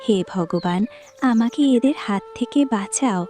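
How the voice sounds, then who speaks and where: A woman's voice: medium pitch, unhurried, dark tone, lightly acted. A woman voicing a meek, plaintive young village bride in a cartoon drama. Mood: distressed. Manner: polite, plaintive.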